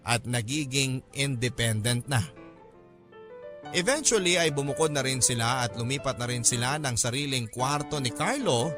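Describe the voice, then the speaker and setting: A man's voice: mid-range voice, moderate pace. A man with a Filipino accent reading a scripted first-person story as the narrator of a radio drama. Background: music.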